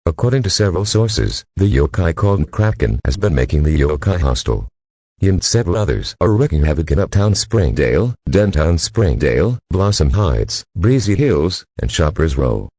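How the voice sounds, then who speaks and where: A man's voice: deep voice, very animated, normal speed, dark tone, slightly rough, dry recording. A man reading a scripted emergency broadcast announcement in a formal, stiff delivery as an alert announcer.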